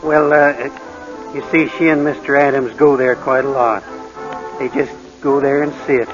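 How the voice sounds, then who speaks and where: An actor playing a nervous, well-meaning neighbour with a halting, flustered manner in a 1940s radio drama. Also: male voice, mid-range voice, level tone, music in the background, lightly acted. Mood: nervous, earnest. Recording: dull, lo-fi 1940s radio recording.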